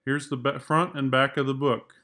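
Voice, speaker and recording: A man's voice: medium pitch, flat delivery, normal speed, bright, forceful tone. A man, an art teacher, narrating a children's book read-aloud.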